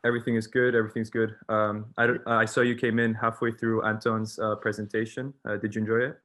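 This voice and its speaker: A man's voice: on the low side, flat delivery, quick pace, fairly bright tone. A young man hosting a university online event and interviewing a guest, speaking hesitantly with many fillers. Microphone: video call.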